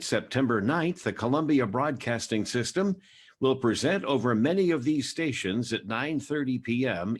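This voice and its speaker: Husky 1940s radio announcer. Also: male voice, mid-range voice. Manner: formal, measured.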